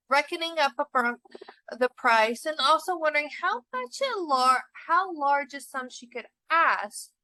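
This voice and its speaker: A woman narrating a short story expressively for students, with deliberate, dramatic emphasis. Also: high-pitched, pushed and bright, dry recording, poor audio.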